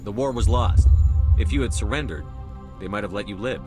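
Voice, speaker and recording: A man's voice: fairly low pitch, a little fast, music in the background, lightly acted. An actor playing a serious, decisive male space soldier in a video game, speaking in a terse, direct way. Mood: questioning, firm. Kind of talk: scripted video game dialogue.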